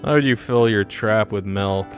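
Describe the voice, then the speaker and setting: A man plays a reserved schoolgirl in a visual novel, dry and reluctant. The voice sits low, with soft music underneath. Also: moderate pace, close to an everyday voice.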